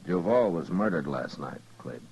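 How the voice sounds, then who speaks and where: An actor playing a steady, plain-spoken middle-aged lawman in a radio western, husky and measured, sometimes hesitant as he questions. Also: heavily acted, poor audio. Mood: firm. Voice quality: husky, very deep voice. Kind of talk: 1950s radio western acting.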